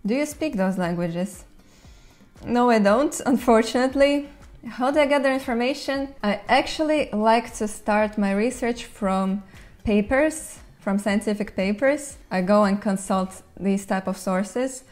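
A woman's voice: on the high side, a little slow, darkish tone. Young Latvian woman answering questions casually. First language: Russian. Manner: thoughtful, light laughs, reads out fan questions. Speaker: language channel host. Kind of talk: casual Q&A to camera. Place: bright room indoors, seated in an armchair. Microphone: lapel mic.

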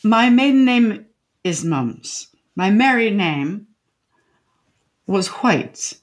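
Older widow, indignant, opinionated, wordy, dry sarcasm, mid-pitched, comedic radio play. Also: female voice, lively intonation, very slow. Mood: proud, defiant. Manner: wordy, theatrical, dryly sardonic. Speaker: lead actress in a radio play. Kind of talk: comedic radio drama acting.

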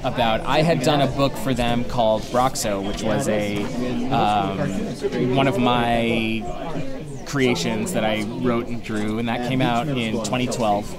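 A man's voice: mid-range voice, fairly even intonation, slow. An American man in his thirties, a comic artist, talking casually in an interview across a table. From United States. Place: at a table by a shop window at a busy comics festival. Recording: busy public space with music and chatter. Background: loud crowd chatter and music.

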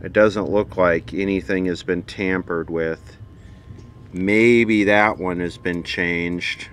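A man's voice: low voice, steady tone, takes it slowly, a bit bright, slight background noise. A man giving casual, off-the-cuff commentary as he looks over vintage electronics, with dry, sardonic asides. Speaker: vintage electronics repair host.

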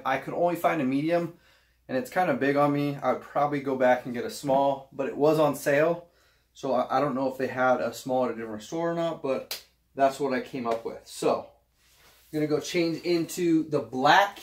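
A young man from Utah chatting casually to camera in a small home office, rambling with fillers and restarts as he shows gym clothes. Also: fairly high pitch. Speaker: vlogger. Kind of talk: casual try-on haul chat.

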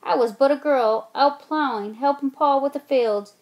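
A woman reading aloud voices a wise old grandmother telling children a story in a measured, old-time manner. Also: sits high, highs cut off, pitched above the performer's own voice. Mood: storytelling.

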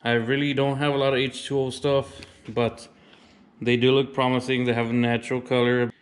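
A man in his thirties casually showing his fishing gear as a vlogger, relaxed and plainspoken, saying "y'all". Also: mid-range voice, normal speed. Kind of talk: casual show-and-tell. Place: indoors, then a wooden deck.

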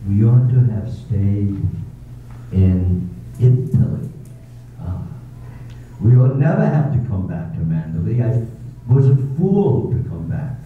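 A man's voice: lowish voice, very animated, slow pace, long pauses, a little hiss or hum. A man acting a brooding, curt husband with bitter outbursts, recorded tinny, echoey and distant. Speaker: amateur radio drama actor.

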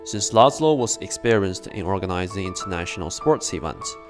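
Low-voiced male narrator, measured formal read, music bed. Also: expressive. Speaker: documentary narrator. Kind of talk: scripted voice-over narration.

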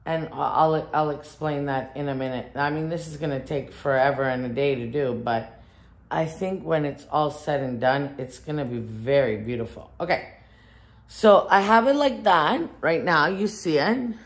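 A woman's voice: sits low, normal speed. A woman, chatting and thinking aloud as she gives a casual decorating demonstration. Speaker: home decor vlogger. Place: furnished living room at home, indoors.

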